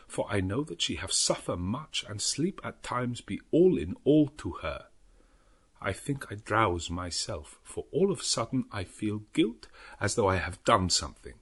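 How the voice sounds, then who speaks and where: Earnest old professor, swooping intonation. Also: male voice, mid-range voice, a bit bright, slightly rough, heavily acted. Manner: halting, non-native English phrasing, deliberate and dramatic. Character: elderly foreign professor, earnest, grave, devout vampire hunter. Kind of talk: dramatic audiobook narration of a journal.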